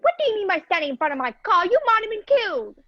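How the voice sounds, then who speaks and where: A high-pitched, indignant lady of a radio play, acted by a young woman. She is wary and curt, with huffy exclamations. Her video-call audio is dull and dry. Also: sing-song, very fast, dry recording. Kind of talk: amateur radio play acting. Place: indoors, performed over a video call.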